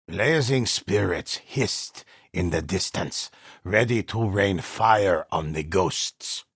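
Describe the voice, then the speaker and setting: An actor playing an older, solemn but inviting storyteller who recounts ghostly samurai legends in a theatrical, gravelly, husky voice for a video game. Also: male voice, sits low, fairly bright tone, no room sound, studio quality, exaggerated voice. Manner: measured, theatrical, savoring words. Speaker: storyteller narrator. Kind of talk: dramatic scripted tale-telling.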